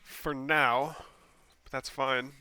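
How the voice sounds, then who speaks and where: A man casts a strategy game replay alone at his desk mic, his voice bright but stuffy and scratchy from a cold. He rambles casually with plenty of ums and self-corrections, then jumps up in pitch when a play surprises him. His pitch sits in a middle range for a man. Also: slow pace, smooth, no room sound. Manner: bursts of excitement at plays. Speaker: game caster. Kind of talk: solo replay commentary.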